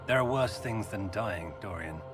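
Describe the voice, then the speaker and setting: An actor playing an earnest, worried young nobleman in a video game, speaking in a measured way. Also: on the low side, animated, over music, close to an everyday voice. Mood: resigned. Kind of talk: video game dialogue acting.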